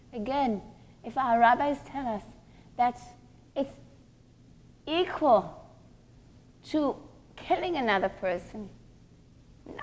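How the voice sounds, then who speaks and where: A woman with a fairly high voice delivers a religious lecture as a teacher. She is earnest and didactic, putting questions to her listeners and leaning on key words for emphasis. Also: darkish tone, a little hiss or hum. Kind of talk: religious lecture to a group of women.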